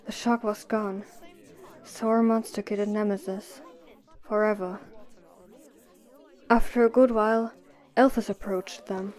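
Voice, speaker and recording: A woman's voice: medium pitch, fairly even intonation, unhurried, pauses often, a little mellow, low-quality recording. A woman reading scripted story narration as the narrator of an audio drama.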